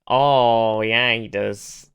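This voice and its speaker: Casual podcast co-host, mid-pitched and bright, clean tone, enthusiastic fan energy, loose sweary delivery. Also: fairly even intonation, drawn-out words, smooth. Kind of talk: casual chat, recounting TV episodes.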